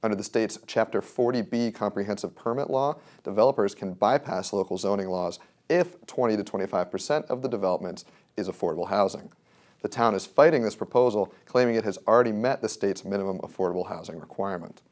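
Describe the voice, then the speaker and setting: A man's voice: lowish voice, fast talker, darkish tone. An American man reading a scripted local news report as a reporter.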